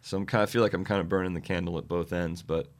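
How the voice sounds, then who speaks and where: A man, a touring musician guest, chatting casually with a slightly scratchy, tired voice and many halting fillers. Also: sits low, fairly even intonation. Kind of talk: casual interview chat.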